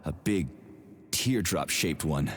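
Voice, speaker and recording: Husky, cynical Soviet army major. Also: male voice, a bass voice, lively intonation, slow pace, exaggerated voice. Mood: irritated. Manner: brusque, sarcastic, irritable. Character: gruff, foul-mouthed, cynical Soviet special agent major. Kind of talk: video game cutscene acting. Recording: long reverberant hall sound.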